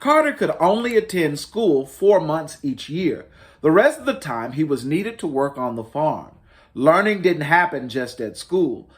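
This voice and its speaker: Expressive man reading a children's storybook, lively pitch. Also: a little slow. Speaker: children's storybook reader, host. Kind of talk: expressive picture book read-aloud for families.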